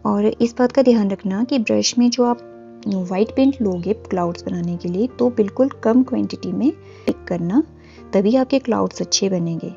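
A woman giving a calm, instructive voice-over for a step-by-step painting tutorial, pausing often with fillers. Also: mid-range voice, gravelly, lo-fi sound, faint music behind. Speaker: art teacher. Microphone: voice-over, camera on hands and canvas.

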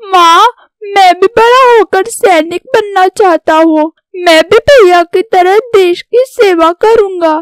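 An earnest little boy from a cartoon story , acted by a woman. The voice is extremely high, the words slow and careful, recorded very dry. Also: dry recording. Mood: determined. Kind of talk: scripted Hindi cartoon story dialogue.

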